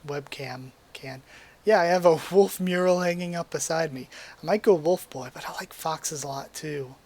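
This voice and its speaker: Young male vlogger, somewhat high voice, hoarse, easygoing rambling webcam chat. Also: normal speed. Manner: relaxed, rambling, with frequent ums and likes. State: losing his voice. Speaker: YouTuber. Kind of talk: casual quiz commentary to webcam. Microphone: desk mic at a webcam setup.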